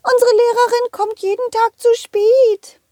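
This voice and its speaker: Tiny squeaky fruit kids, super high, eager and curious, kids story. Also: female voice, flat delivery, a little slow, dark tone, no room sound, clean studio sound. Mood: curious, complaining. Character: excited little fruit and vegetable schoolchildren.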